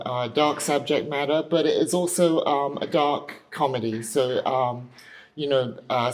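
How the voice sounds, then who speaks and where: Brief: female director, table read host. Casual group briefing, halting delivery with plenty of fillers. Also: low voice, poor audio. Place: rehearsal studio.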